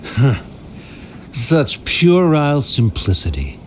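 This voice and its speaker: An actor playing a haughty, scornful scholar in a video game, with a condescending, formal manner. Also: male voice, mid-range voice, wildly swooping pitch, slow pace, a little hiss or hum. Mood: disdainful, relieved.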